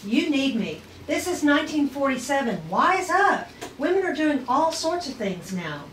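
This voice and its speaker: A woman's voice: high-pitched, sing-song, moderate pace, fairly bright tone. A woman reading the part of a defiant, self-assured young astronavigator in a retro space adventure table read. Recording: echoey room, distant mic, faint noise.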